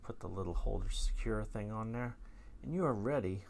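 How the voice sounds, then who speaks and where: A man's voice: lowish voice, moderate pace, gravelly, darkish tone, slight background noise. A man casually demonstrating gear as host, rambling and jokey in a colloquial, self-mocking way. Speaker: host of a vintage gear video channel.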